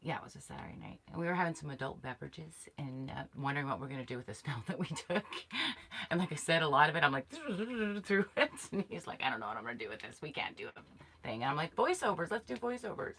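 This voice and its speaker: A woman's voice: deep voice, sing-song, fast talker, pushed and bright, husky. A middle-aged woman co-hosting a casual camping live stream from a small camper, chatty and laughing often. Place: small insulated camper interior. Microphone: fixed camera framing two people on a bench.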